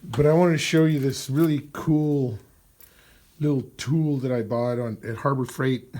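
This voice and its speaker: A man whose voice has gone husky from a cold talks his way through a garage repair job. He speaks into a phone he holds while working under the vehicle. His talk is loose and rambling, full of fillers and throat clearing. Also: mid-range voice, fairly even intonation, measured pace, warm tone. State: hoarse with a cold, losing his voice. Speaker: DIY car mechanic vlogger. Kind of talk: casual workshop walkthrough. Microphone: phone camera held while working.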